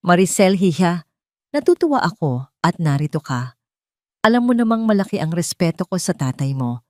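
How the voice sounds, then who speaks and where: A woman's voice: lowish voice, very animated, normal speed, a little mellow, no echo, clean studio sound. A woman voicing an elderly male business partner, a frank family friend who speaks seriously and formally in an audio drama. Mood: grave.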